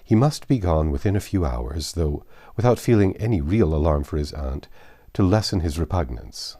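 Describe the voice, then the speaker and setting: A man reading a classic novel aloud as narrator, in a measured, formal storytelling manner. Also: low voice, lively intonation, dark tone. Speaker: audiobook narrator. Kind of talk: expressive literary reading aloud.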